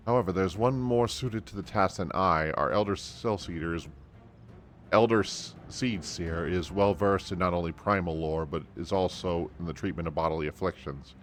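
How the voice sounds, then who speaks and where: A man's voice: low-pitched, normal speed, a little hiss or hum, close to an everyday voice. A man voicing a courteous, stately military commander in a fantasy video game. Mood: courteous, grave. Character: courteous, formal military commander of a forest city.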